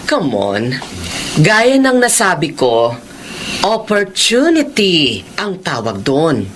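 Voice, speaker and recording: A woman's voice: on the low side, huge pitch swings, slow, slight background noise, full character voice. A woman acting in a radio drama as a predatory, manipulative director, drawling and insinuating with seductive menace.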